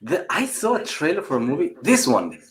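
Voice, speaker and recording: A man's voice: a little high, wildly swooping pitch, a little fast. A man co-hosting a casual livestream, asking lots of curious questions and often restarting his words. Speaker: co-host.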